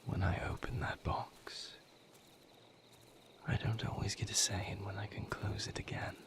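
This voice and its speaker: A man playing a brooding, tender vampire lover with a painful past, speaking hesitantly and formally in a breathy, intimate audio roleplay monologue. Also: level tone, natural voice. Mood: guarded, somber. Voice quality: breathy, whispered, low-pitched. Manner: hesitant, formal wording, measured, pausing.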